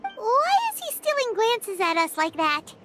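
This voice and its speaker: A woman voicing a tiny, excitable female fairy companion in a game, exclaiming in a squeaky voice. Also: unhurried, background noise, exaggerated voice. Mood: startled.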